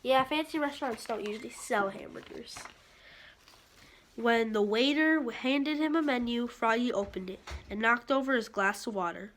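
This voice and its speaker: A woman's voice: a little high, normal speed, slight background noise. A woman reading a children's story aloud expressively, with casual asides. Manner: expressive, acts out sound effects. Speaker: picture book reader.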